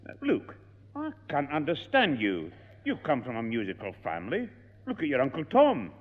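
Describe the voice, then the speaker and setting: An actor playing a kindly older grandfather, gently scolding the boy. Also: mid-range voice, huge pitch swings, normal speed. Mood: gentle. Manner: gently scolding, sing-song. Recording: tinny, echoey old radio broadcast.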